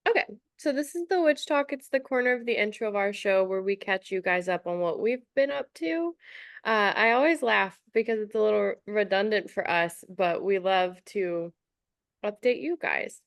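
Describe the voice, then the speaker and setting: A woman's voice: mid-range voice, expressive, normal speed, vocal fry, no room sound, studio quality. A woman chatting casually as a podcast co-host, chatty and giggly, with a slightly stuffy nose. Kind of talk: casual chat.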